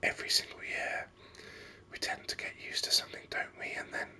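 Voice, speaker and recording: A man speaks entirely in a breathy whisper, crisp and very bright in tone. He gives ASMR commentary on a video game, musing aloud without hurry, close to the microphone. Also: brisk.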